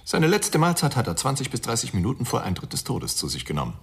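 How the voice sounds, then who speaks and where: A man's voice: mid-range voice, wildly swooping pitch, quick pace, vocal fry, noisy background. A man playing a sardonic, unfazed forensic pathologist in a German radio crime drama, wry, theatrical and matter-of-fact.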